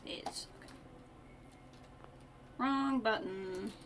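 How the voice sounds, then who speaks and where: A woman's voice: a little high, extremely slow, a bit bright. A woman doing casual live-stream game commentary, chatting offhand and muttering asides to herself. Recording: keyboard clatter, faint noise.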